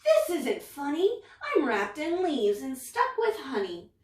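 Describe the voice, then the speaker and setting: A woman's voice: high-pitched, huge pitch swings, slow pace, darkish tone, pitched above the performer's own voice, heavily acted. A woman voicing a menacing, commanding wizard lizard villain from a storybook. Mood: indignant. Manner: commanding, threatening. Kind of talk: storybook character voice. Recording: roomy echo, distant mic.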